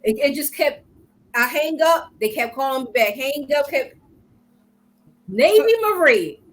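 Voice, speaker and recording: Older lady cohosting a live talk show, high voice, chatty and laughing a lot. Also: measured pace. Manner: lively, chatty, laughs often. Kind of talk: casual live show chat.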